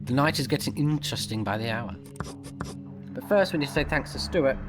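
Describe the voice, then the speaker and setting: A man with a medium-pitched voice gives casual let's play commentary. He reacts bluntly and informally as he reads along, with clearly audible game music behind him. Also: expressive, fairly quick. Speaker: gaming YouTuber. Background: loud game music.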